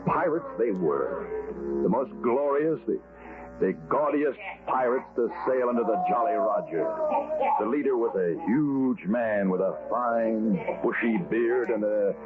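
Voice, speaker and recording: A man's voice: mid-range voice, expressive, a little slow, lightly acted. An actor playing a man recounting a boyhood adventure with relish, in 1940s radio narration style. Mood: reminiscing. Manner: storytelling, relishing. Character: wistful grown man recalling boyhood adventure. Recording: tinny old radio, loud music behind.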